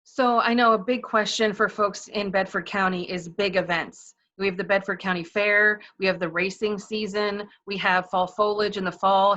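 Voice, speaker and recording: Bright-voiced woman moderating a lo-fi call. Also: medium pitch. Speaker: call moderator relaying questions. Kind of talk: informal moderated question-and-answer. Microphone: conference call line. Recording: dull, lo-fi conference call audio.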